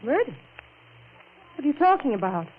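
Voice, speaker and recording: Through a tinny, slightly noisy vintage radio recording comes a young woman in love with a high voice. She is earnest and emphatic, devoted yet strong-willed, in classic 1940s melodrama style. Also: big pitch swings, normal speed. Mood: alarmed. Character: young, lovestruck woman, devoted and coolly scheming. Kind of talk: 1940s radio horror drama acting.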